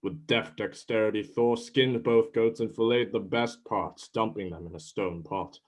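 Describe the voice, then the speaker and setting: A man's voice: lowish voice, steady tone, moderate pace. A man reading scripted narration for a dramatic reading of a myth play.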